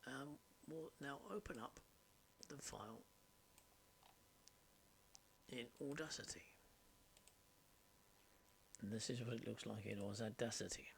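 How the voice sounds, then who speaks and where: A man narrating an informal software tutorial, explaining step by step in short, halting phrases. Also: medium pitch, vocal fry, low-quality recording. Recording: steady background noise, mouse clicks. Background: faint noise and clicking.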